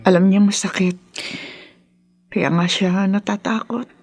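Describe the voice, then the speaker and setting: A woman's voice: mid-range voice, steady tone, unhurried, a little mellow, dry recording, close to an everyday voice. A woman playing a worried, protective grandmother in a Filipino radio drama. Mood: worried, weary. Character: worried older grandmother, fretful and protective mother.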